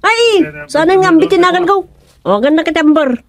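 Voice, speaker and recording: A woman's voice: very high-pitched, animated, brisk. A woman playing a chatty, teasing village wife in a radio comedy drama, with an Ilocano accent. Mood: teasing, animated.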